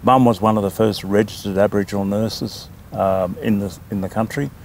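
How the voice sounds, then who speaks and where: An older Australian man from New South Wales, a land council chairman answering interview questions in a talkative, unhurried way with frequent ums. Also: fairly low pitch, steady tone, a little mellow, a little hiss or hum. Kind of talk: casual interview.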